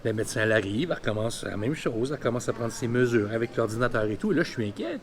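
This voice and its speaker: A man's voice: mid-range voice, expressive, racing. A man of about fifty with a Quebec French accent, telling a personal story candidly and colloquially in a vlog. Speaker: vlogger.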